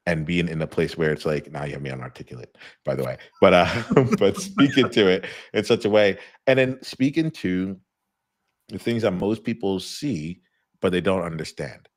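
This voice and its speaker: Man, middle-aged, very deep voice, dry close sound, rambling live talk, lots of restarts. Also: no echo. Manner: rambling with many restarts and fillers. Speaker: host. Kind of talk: casual live conversation.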